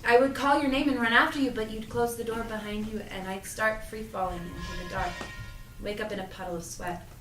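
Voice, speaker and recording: A woman's voice: on the high side, steady tone, a little fast, far from the mic, natural voice. A woman playing an earnest, anxious young apprentice in an audio drama read-through, speaking naturally and conversationally. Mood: anxious, confiding. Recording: roomy, off-mic, music underneath.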